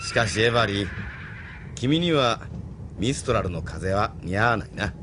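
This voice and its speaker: A man acting a genial, teasing older gentleman with a courtly, knowing manner in a Japanese audio drama. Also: fairly low pitch, animated, moderate pace, background noise, close to an everyday voice. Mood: gently teasing.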